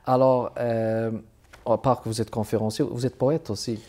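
Formal, mellow-voiced male TV host. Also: medium pitch, steady tone. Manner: formal, measured presenter delivery. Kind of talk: arts interview hosting. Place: TV studio.

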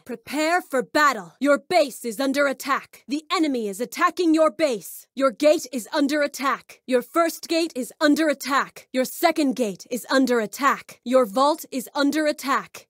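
A dramatic female arena announcer for a video game, with a high, swooping voice. She is commanding and excitable, delivering each short call slowly and with heavy emphasis. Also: no room sound, studio quality, full character voice. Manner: emphatic, deliberate, declamatory short calls.

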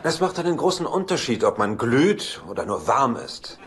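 A man's voice: mid-range voice, very animated, normal speed, background noise, natural voice. An actor playing a cynical, dismissive middle-aged man in a dubbed sitcom, with a dry, sardonic delivery. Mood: dry, mocking. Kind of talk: German sitcom dubbing.

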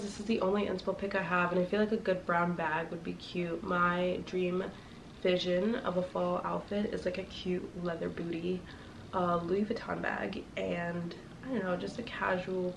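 Young woman, sick and congested, gravelly fry, rambling fillers, slightly distant mic, faint noise. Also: fairly low pitch. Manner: chatty, rambling, lots of fillers. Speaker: fashion vlogger. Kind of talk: casual chat to viewers.

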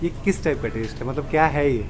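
A man's voice: medium pitch, fast talker, noisy background. A young man vlogging a restaurant visit, enthusiastically telling his viewers about the food and decor. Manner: enthusiastic, addresses viewers directly. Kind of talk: casual food vlog narration. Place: indoor restaurant.

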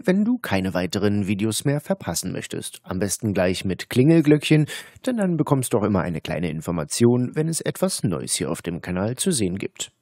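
A man's voice: fairly low pitch, darkish tone, no echo, studio quality. A man speaking as a channel host, delivering a scripted outro to viewers.

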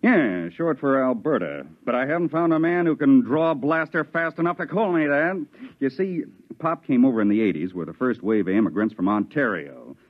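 An actor playing a big, brash, folksy Venusian colonist in a 1950s radio drama, with a cocky, plainspoken drawl. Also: male voice, medium pitch, expressive, normal speed, natural voice. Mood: friendly. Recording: dull lo-fi 1950s radio recording.

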